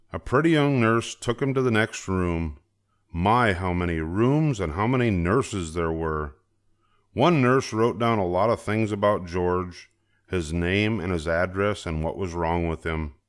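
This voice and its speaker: An older man narrating a children's story aloud in an expressive, deliberate storytelling manner. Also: sits low. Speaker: storybook reader.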